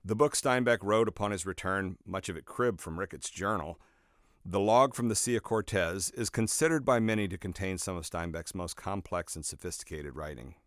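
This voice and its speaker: Low-voiced man reading an audiobook, dry sound. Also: moderate pace, a bit bright, no room sound. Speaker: author reading own audiobook. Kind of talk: scripted narration, audiobook reading.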